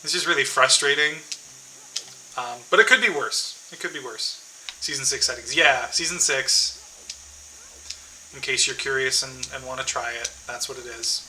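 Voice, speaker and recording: A man's voice: medium pitch, moderate pace, pauses often, pushed and bright, a little hiss or hum, rough recording. A man streaming a game, chatting casually to viewers and thinking aloud with many fillers and restarts.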